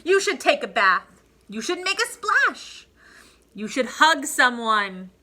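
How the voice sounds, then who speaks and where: Woman playing eager, high, bright jungle animals. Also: sing-song, takes it slowly, exaggerated voice. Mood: eager urging. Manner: eager, insistent urging. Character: chorus of cheerful, pushy jungle animals.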